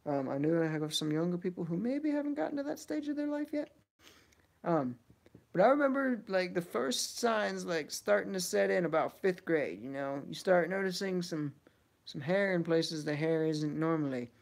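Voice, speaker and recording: Relaxed young man with a creaky, higher-pitched voice. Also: moderate pace. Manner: laid-back, slangy. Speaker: game streamer. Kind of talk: casual livestream chat, reading and answering viewer questions.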